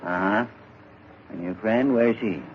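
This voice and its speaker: An actor playing an easygoing rural village constable in a 1940s radio drama, folksy and unhurried. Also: male voice, lowish voice, lightly acted. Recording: dull old radio recording, faint hiss.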